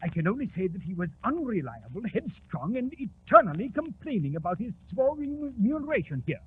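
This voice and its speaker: A prim, defensive government clerk in a vintage radio play. His high voice rises and falls sharply as he insists on precise points. The sound is narrow and a little noisy. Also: very animated, fairly quick. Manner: precise, emphatic, repeats himself primly. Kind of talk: 1940s radio drama acting. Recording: noisy, tinny 1940s radio recording.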